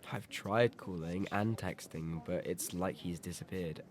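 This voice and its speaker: A man's voice: low-pitched, a little slow, a crowd in the background. A man playing a sincere, reflective teenage boy in an audio drama. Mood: sad, reflective. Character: Peter, wistful teenage boy attached to his best friend.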